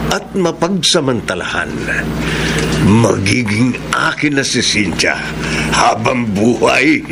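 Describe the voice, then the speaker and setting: An actor playing a scheming, ruthless rich boss in a classic radio drama, calm and menacing, over loud hiss. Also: male voice, mid-range voice.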